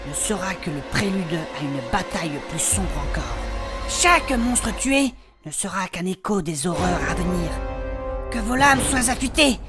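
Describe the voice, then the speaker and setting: A woman playing an adventurer who reads an ancient dark warning aloud in a fantasy audio drama, grave, deliberate and portentous. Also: mid-range voice, close to an everyday voice. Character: ominous reader of an ancient necromantic warning.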